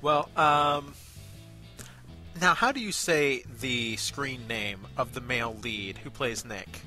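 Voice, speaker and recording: Chatty review host, very bright forward voice, casual banter with mock-formal touches, faint music behind. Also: mid-range voice, lively intonation, takes it slowly. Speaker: amateur web show review host. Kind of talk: casual banter with playful announcements.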